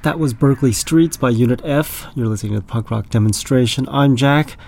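A man hosting a radio show, hoarse and raspy from being sick, talking in a loose, rambling, self-deprecating way. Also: medium pitch, a little fast, a little mellow. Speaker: radio DJ host. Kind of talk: casual radio show chat.

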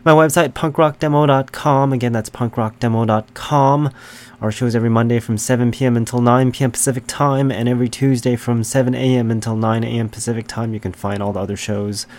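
Raspy-voiced man hosting radio. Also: mid-range voice, steady tone, a little fast. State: raspy voice from the flu. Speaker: radio show host.

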